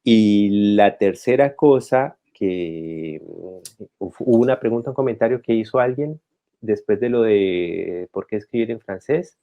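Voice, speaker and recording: A man from Colombia speaking as a guest writer, talking reflectively and pausing to restart his thoughts, recorded over a video call. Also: on the low side, expressive, dark tone. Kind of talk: conversational talk.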